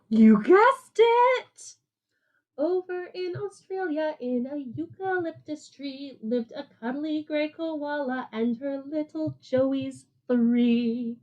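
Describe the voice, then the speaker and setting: Woman, high-pitched, leisurely pace, animated teacher, kid-friendly sing-song storytelling, casual asides, lo-fi sound. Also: slow pace. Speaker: teacher reading aloud to children. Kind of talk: sing-song picture-book read-aloud with casual asides.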